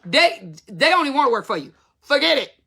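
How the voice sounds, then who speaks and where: A woman's voice: high-pitched, sing-song, a little fast, creaky, sharp tone. A woman of fifty giving blunt, emphatic salon business advice in a casual, colloquial way. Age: 50s. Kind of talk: casual talk to camera.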